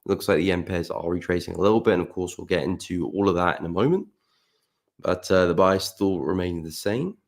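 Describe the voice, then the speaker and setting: Deep-voiced man hosting a trading livestream. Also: brisk. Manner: relaxed, chatty, greets viewers by name. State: croaky, keeps clearing throat. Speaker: forex trading livestream host. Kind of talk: live market analysis stream.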